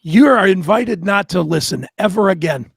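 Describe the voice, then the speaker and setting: A man with a raspy voice co-hosting an unscripted talk show, arguing in a crude, defiant, profane way. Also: sits high, lively intonation, normal speed, a bit bright, dry recording, clean studio sound.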